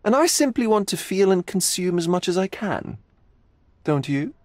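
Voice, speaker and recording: A man playing a sly, hedonistic, eccentric art gallery owner in a video game, with drawn-out theatrical philosophizing. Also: high voice, sing-song, a little mellow, no room sound. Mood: teasing, rapturous. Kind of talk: video game cutscene acting.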